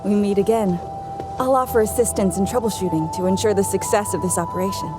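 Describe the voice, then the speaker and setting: An actress playing a composed, earnest woman researcher in a video game, measured and explanatory in delivery. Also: medium pitch, over loud music, close to an everyday voice. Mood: composed, businesslike. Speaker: game character.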